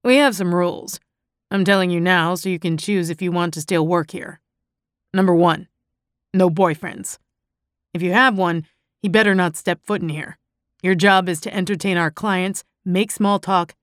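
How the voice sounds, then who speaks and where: A woman's voice: fairly low pitch, steady tone, measured pace, no echo, studio quality, close to an everyday voice. A woman voicing a domineering club owner in his late thirties, a stocky man with a leering, bossy manner, in an audiobook. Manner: leering, bossy, calls women doll.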